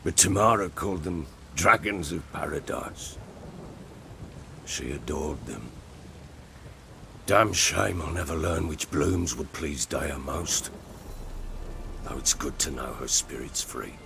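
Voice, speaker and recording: Gravelly, brooding middle-aged baron. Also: male voice, a bass voice, slow, pauses often, noisy background, exaggerated voice. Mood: bitter, grieving. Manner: brooding, blunt, agitated when pressed. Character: gruff, grieving, volatile middle-aged male baron. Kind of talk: dramatic video game acting.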